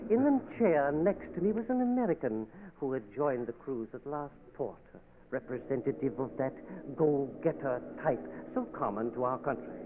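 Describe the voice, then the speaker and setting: Man playing a polite professor, lively voice, tinny old radio sound. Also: mid-range voice, normal speed, background noise, natural voice. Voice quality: polite, hesitant, courteous. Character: polite curious male college professor. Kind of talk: 1940s radio acting.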